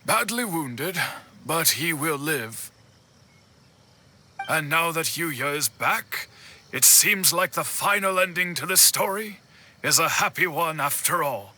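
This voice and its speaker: Earnest game character: articulate, deliberate, highish and animated, very bright, light music behind. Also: male voice, slight background noise, heavily acted. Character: earnest, expressive man reporting news. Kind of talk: scripted game dialogue.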